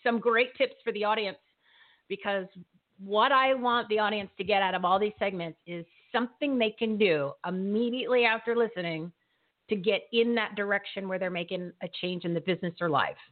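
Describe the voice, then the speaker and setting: A woman's voice: medium pitch, fairly quick. A woman host with a New Jersey accent, chatting on a live online show, often restarting sentences and laughing. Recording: phone-like narrow audio.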